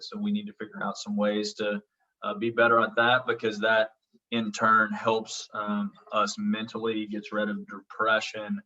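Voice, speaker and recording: A man from Oklahoma with a noticeable accent, teaching a college class over a video call. His voice is bright and a little forceful, and he talks in a relaxed, reassuring way with lots of ums. The audio is dull and lo-fi, as if he sits back from the mic. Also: lowish voice, far from the mic. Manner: reassuring, frequent ums, checks in with "okay?". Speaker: college instructor. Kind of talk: casual online class introduction.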